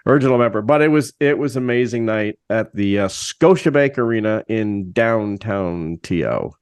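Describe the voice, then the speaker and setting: A man's voice: lowish voice, expressive, normal speed, clear, resonant tone, dry recording. An older man co-hosting a podcast, telling long rambling stories in casual chat. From Canada. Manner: rambling storyteller, chatty. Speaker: co-host.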